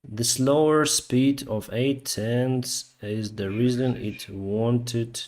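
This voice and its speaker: A man from Ukraine with a Ukrainian accent, hosting a casual live chat and answering viewers in a hesitant, halting way. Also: mid-range voice, steady tone, darkish tone. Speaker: live stream host, airline pilot.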